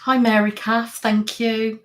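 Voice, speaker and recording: A woman hosting her ASMR channel's live stream, softly chatting and greeting viewers' comments with many fillers. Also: a little high, flat delivery, takes it slowly. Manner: soft-spoken with filler words. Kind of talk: casual live-stream chat.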